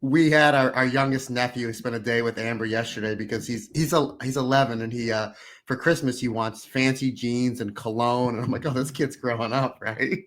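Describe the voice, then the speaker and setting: A man in his thirties or forties co-hosting a podcast, a little hoarse, telling long upbeat stories in casual chat. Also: mid-range voice, steady tone, normal speed, a bit bright. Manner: rambling, upbeat storytelling with frequent restarts. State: slightly hoarse. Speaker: podcast co-host.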